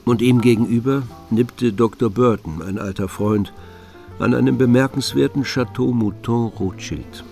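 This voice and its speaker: A man narrating a radio drama from a script, with measured, literary storytelling. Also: medium pitch, creaky, warm tone, natural voice. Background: music.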